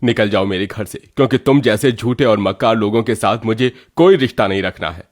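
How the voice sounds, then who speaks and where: A man's voice: on the low side, fairly quick, dry recording, clean studio sound, lightly acted. A man voicing a stern, protective husband and elder brother in a cartoon story, emphatic in manner. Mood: stern, angry. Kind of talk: Hindi cartoon story acting.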